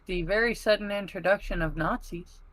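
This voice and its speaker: A woman's voice: medium pitch, a little fast, a bit bright, rough recording. A woman chatting casually as a member of a panel. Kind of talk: casual chat.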